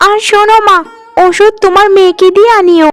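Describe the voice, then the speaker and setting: A woman voicing an irritable, sharp-tongued young seamstress daughter in a cartoon, scolding and complaining. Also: extremely high pitch, steady tone, fairly quick. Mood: irritated, scolding. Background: faint music.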